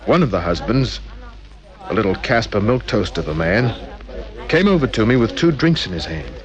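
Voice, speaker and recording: An actor playing a wry, easygoing private detective, narrating an old-time radio crime drama in a dry, laconic way. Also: male voice, lowish voice, sing-song, normal speed. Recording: dull old radio recording, faint music.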